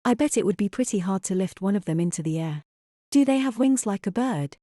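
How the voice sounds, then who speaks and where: Audio drama mother, played by a woman: skeptical, firm and measured, soft warm tone, close dry sound. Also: medium pitch, animated, dry recording, lightly acted. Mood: leading, reasoning. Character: sensible, skeptical young English mother denying childhood magic.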